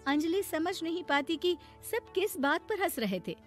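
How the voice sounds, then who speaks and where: A woman's voice: very high voice, animated, moderate pace, soft background music. A woman narrating a scripted moral story for children as its storyteller.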